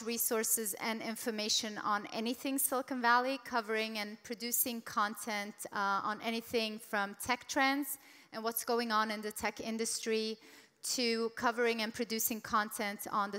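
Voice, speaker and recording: Bright, crisp woman emceeing a panel. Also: fairly high pitch, steady tone, normal speed. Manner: upbeat, emphatic emcee. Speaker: panel moderator. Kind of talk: conference stage hosting. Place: large conference hall. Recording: echoey conference hall.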